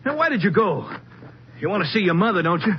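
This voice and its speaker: A scornful, pushy army deserter in an old radio play. His fairly high voice moves up and down a lot, full of slangy goading. The sound is muffled with clear background noise. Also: very animated, fast talker. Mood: scornful, threatening. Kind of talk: old-time radio drama acting.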